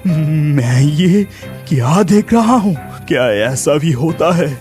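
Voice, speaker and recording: An actor playing a brave but anxious young village lad, thinking aloud dramatically in a cartoon horror story. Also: male voice, on the high side, expressive, takes it slowly, a little mellow, soft background music. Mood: shocked, fearful.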